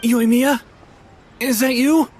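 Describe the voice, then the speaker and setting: A man's voice: very high-pitched, fairly even intonation, slow. An actor playing a timid, apologetic man in a video game, speaking hesitantly. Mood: nervous. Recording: game music under the voice.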